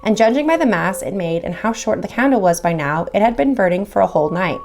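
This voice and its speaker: A woman's voice: mid-range voice, animated, fast, a little mellow, slight background noise. A woman reading horror stories aloud, with casual chatty asides between them. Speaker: horror story reader.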